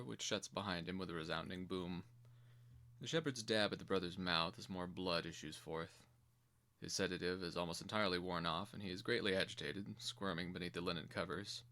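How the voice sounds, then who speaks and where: Male narrator, low register, measured and theatrical, reading dark fantasy stage directions. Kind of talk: dramatic screenplay reading.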